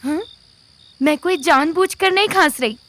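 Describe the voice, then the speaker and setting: A woman's voice: high-pitched, normal speed, a bit bright. A woman playing a weakened, skeptical young female patient in an audio drama. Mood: puzzled. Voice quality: coughing, weakened.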